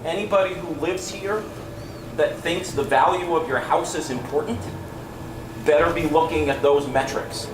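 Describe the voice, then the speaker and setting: A man's voice: a little high, normal speed. Man in a roomy meeting hall. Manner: emphatic, keeps asking "right?". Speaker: parent giving public comment. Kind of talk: public comment at a school board meeting. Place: large school meeting room. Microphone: stand mic a few feet away. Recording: roomy, distant, noisy room sound.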